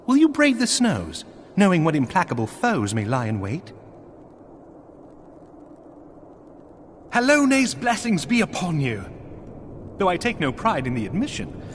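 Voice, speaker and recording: Casting: gracious lord of a knightly outpost, fantasy game; formal old-world diction, highly varied intonation. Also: male voice, slight background noise. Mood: urging, then gracious, warm. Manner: formal, archaic, courtly phrasing. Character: earnest, gracious nobleman commanding a snowbound knightly outpost. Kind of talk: scripted fantasy game dialogue.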